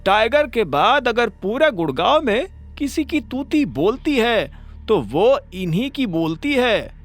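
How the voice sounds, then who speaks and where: A man's voice: very animated, slow, faint music behind, heavily acted. A man voicing an eager, talkative male cab driver in an audio story. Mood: excited. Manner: eager, talkative, pitched high.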